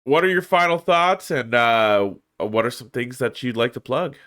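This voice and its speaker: A man's voice: mid-range voice, animated, moderate pace, fairly bright tone. A man with a congested voice, hosting a casual podcast in a laid-back way and laughing easily. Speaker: podcast host. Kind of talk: casual chat.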